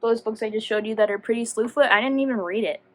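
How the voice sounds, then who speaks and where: A woman's voice: a little high, fast, fairly bright tone, slight background noise. A woman chatting casually to viewers as a book vlogger, rambling and self-deprecating as she goes off on tangents. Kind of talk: casual chatty video talk.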